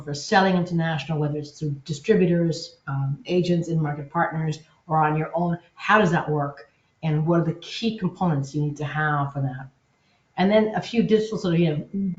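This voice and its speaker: A woman's voice: low-pitched, reverberant, lo-fi sound. A woman presenting a live webinar in an upbeat, enthusiastic, loosely conversational way. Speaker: webinar presenter, agency content officer.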